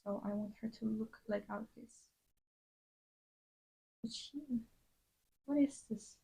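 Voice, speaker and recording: A woman's voice: on the high side, takes it slowly, vocal fry, mellow, breathy edge, poor audio. A young woman from Latin America, chatting casually on a drawing livestream and rambling with many fillers and restarts. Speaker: streamer.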